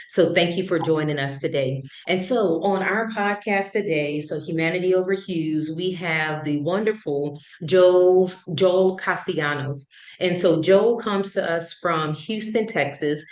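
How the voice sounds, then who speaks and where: A woman hosting a podcast, reading a short promo and then introducing and questioning her guest in loose, filler-filled speech. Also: deep voice. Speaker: podcast host. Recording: echoey room.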